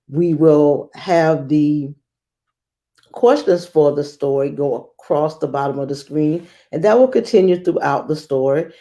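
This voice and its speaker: A woman hosting a children's read-aloud as a teacher, speaking in a measured, expressive storytelling manner. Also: sits low.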